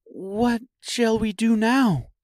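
Man acting little girl, high shifted pitch, sad, tender, plaintive, storybook. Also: very animated, takes it slowly, dry recording, exaggerated voice. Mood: worried. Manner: plaintive, gentle. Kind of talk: storybook character reading.

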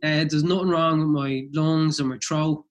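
Young co-host with a slight cold, thoughtful and a touch higher-pitched. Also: male voice, steady tone, moderate pace. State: has a mild cold. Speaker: podcast co-host. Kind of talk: casual chat.

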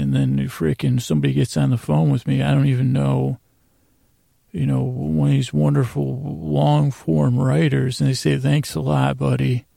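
A man hosting a podcast with a rambling bedtime monologue, meandering, halting and circling back on his own sentences. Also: mid-range voice, creaky, mellow, no room sound. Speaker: podcast host.

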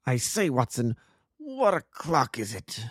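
A man reading a story aloud, voicing a befuddled, tearful man fogged by opium who talks in a slow, confused, pleading way. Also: medium pitch, huge pitch swings, fairly bright tone, slightly rough, no room sound, studio quality, full character voice. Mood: bewildered.

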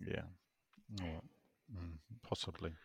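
A man hosting a casual podcast, chatty and jokey, a little hoarse. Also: low-pitched, measured pace, no echo. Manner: chatty, jokey, lively delivery. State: getting hoarse. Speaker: podcast host reading listener messages. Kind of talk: casual banter.

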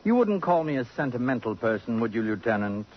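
Pompous, sardonic columnist, swooping delivery. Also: male voice, mid-range voice, very animated, a little fast, slightly rough. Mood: wistful, self-important. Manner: pompous, precise, sardonic, elaborate phrasing. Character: vain, acid-tongued middle-aged male columnist, haughty and cultured. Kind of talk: 1950s radio drama acting. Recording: muffled, noisy 1950s radio broadcast.

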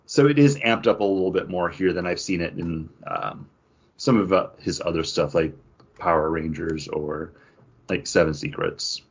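A man co-hosting a comic book podcast, giving measured opinions with asides and steering the casual discussion. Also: sits low, gravelly, breathy edge, highs cut off.

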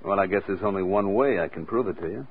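A sardonic American killer for hire in a 1940s radio thriller. The voice is low and husky, with deadpan menace and teasing calm. The sound is thin and boxy, from an old radio recording. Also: male voice, fast. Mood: cool menace. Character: cool, sardonic heavy-set male hitman posing as federal agent. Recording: muffled, narrow-band old radio recording.

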